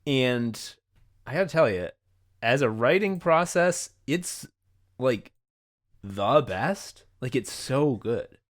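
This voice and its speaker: A man's voice: mid-range voice, animated, slow pace. Male podcast host, enthusiastic rambler, loose conversational delivery, casual swearing. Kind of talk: casual behind-the-scenes chat.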